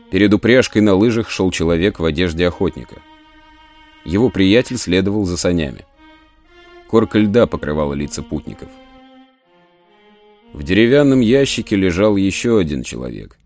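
A man narrating a literary audio play in a measured, solemn storytelling manner. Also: deep voice. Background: soft music.

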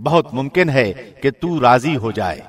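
A man reading a scripture translation from a script in a measured, formal announcer's delivery. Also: medium pitch, animated, breathy edge. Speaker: translation reader.

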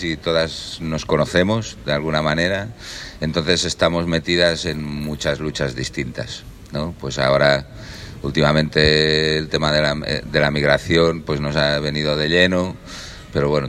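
A middle-aged man with a Catalan accent and an extremely deep, low voice. He speaks in a relaxed, rambling way and corrects himself often. A faint background hiss sits behind him. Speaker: activist introducing himself. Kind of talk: casual self-introduction to a group.

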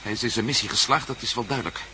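An actor in a Dutch radio play voicing an anxious, restless adventurer who speaks haltingly and repeats himself. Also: male voice, mid-range voice, bright, forceful tone, slight background noise. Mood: uneasy, doubting. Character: Matt Meldon, anxious, restless, doubting male adventurer.

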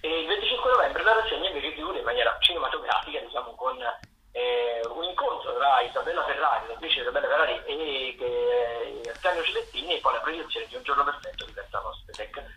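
A man with a bright, forward voice presents radio arts listings. He speaks hesitantly, often reaching for the next word. The recording is roomy and echoey, with faint noise behind him. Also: mid-range voice, animated. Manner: halting, hesitant, searching for words. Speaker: radio theatre correspondent. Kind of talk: spoken listings of theatre and dance events.

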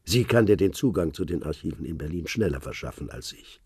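Older man, old spy contact, lively pitch, mellow husky tone, dry. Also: no room sound, exaggerated voice. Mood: calm, knowing. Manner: measured, knowing, fatherly. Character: old man, seasoned former spy contact, calm and wise. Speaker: informant on the phone. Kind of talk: radio drama acting.

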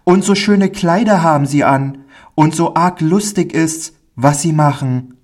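A man's voice: fairly high pitch, unhurried, darkish tone, pitched above the performer's own voice, close to an everyday voice. A man voicing a timid, naive little village boy from a storybook, eager and anxious. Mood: shy longing. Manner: anxious, naive, dialect-tinged childlike speech.

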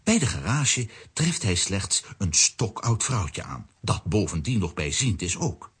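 A wry male storyteller narrating a children's radio play. His lowish, breathy, husky voice rises and falls dramatically. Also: sing-song, measured pace, exaggerated voice. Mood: wry, animated.

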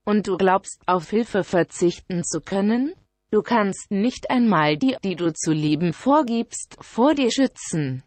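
An actress playing a cynical inner voice in a radio play, deliberate, superior and cutting. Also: female voice, medium pitch, animated, dry recording. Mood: cynical, accusing. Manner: ostentatiously deliberate, quiet, cutting.

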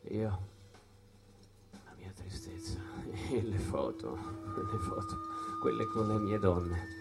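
An actor playing a weary, regretful jazz musician in a halting, impassioned theatrical monologue. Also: male voice, lowish voice, huge pitch swings, vocal fry, heavily acted. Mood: melancholy, intimate. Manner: halting, repeats phrases, impassioned. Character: weary, regretful jazz musician recalling his lost women. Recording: loud music bed under the voice.

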